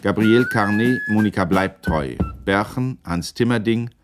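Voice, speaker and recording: An actor as a formal announcer reading out the credits of a German radio drama. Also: male voice, lowish voice, a little slow, loud music behind the voice, lightly acted.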